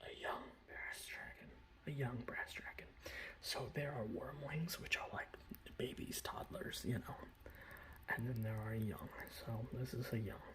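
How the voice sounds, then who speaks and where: A man's voice: fairly even intonation, a little slow, low-quality recording. Whispering man, casual ASMR show-and-tell. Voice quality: breathy whisper with soft low speech. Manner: casual, rambling, conversational. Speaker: hobbyist miniature painter presenting his collection.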